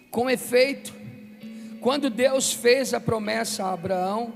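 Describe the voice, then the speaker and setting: Male Brazilian preacher, fifties, high-pitched and declamatory, sermon delivery over background music. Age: 50s. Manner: emphatic, declamatory, with deliberate pauses. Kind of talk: sermon with emphatic scripture reading and call-and-response. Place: large reverberant room, live preaching event. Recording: long hall reverb, music underneath.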